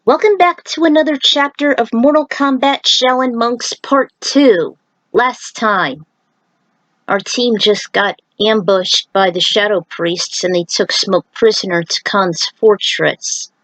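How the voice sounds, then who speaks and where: A woman reads a story aloud at a slow pace. She slips between narration and casual remarks to her listeners. The sound is dry, with little room in it. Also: a little high, fairly bright tone, no echo, rough recording. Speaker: narrator reading own fan fiction. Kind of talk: story reading with casual asides.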